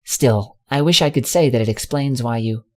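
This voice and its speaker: A man's voice: mid-range voice, normal speed, lightly acted. A man doing a nervous stammering pony mare. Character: anxious young mare pony.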